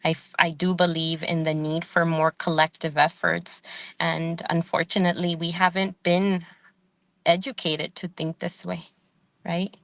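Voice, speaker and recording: Woman guest, low gravelly voice, dry close mic; measured, reflective, fillers and "right?" tags. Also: flat delivery, fairly bright tone, no echo. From Salvadoran family, living in the United States. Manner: thoughtful, frequent "um" and "right?" tags. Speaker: guest, community organizer and professor. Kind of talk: podcast interview.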